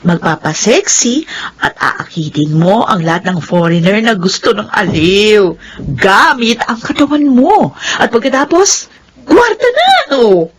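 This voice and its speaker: A woman's voice: fairly high pitch, big pitch swings, a little slow. A woman playing a worldly, brash young friend in a Filipino radio drama, with a frank and pushy way of talking. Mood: coaxing, brash.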